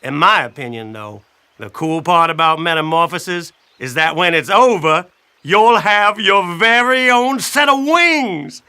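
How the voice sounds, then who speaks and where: A man voicing a friendly, wry blackbird who tells a children's story in a folksy, chatty, expressive way. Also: high-pitched, unhurried, smooth, exaggerated voice. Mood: friendly, enthusiastic. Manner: folksy, expressive, addresses listener directly. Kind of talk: animated children's audiobook storytelling.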